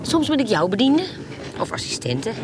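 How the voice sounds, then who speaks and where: A woman's voice: a little high, big pitch swings, moderate pace. Bold, commanding noblewoman adventurer, noisy background. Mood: assured. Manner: terse, insistent, commanding. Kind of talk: radio drama acting. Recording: heavy background noise.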